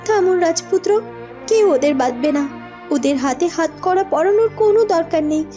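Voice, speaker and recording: Righteous young princess, very high pitch, earnest, reproachful, dramatic fairytale cartoon, music behind. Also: female voice, lively intonation, moderate pace. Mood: firm, righteous. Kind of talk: melodramatic fairytale cartoon acting. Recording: music under the voice.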